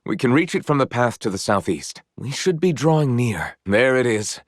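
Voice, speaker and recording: An actor voicing a courteous, earnest and gently proud young knight in a video game, with formal, eloquent phrasing. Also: male voice, mid-range voice, animated, normal speed, no room sound, studio quality, close to an everyday voice. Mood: brisk, polite. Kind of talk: video game character voice lines.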